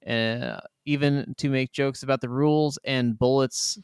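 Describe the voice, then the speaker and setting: A man's voice: medium pitch, fairly even intonation, measured pace, clear, resonant tone, no echo, clean studio sound. A man co-hosting a gaming podcast in casual, jokey banter with plenty of swearing. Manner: informal, profane, jokey. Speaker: podcast co-host.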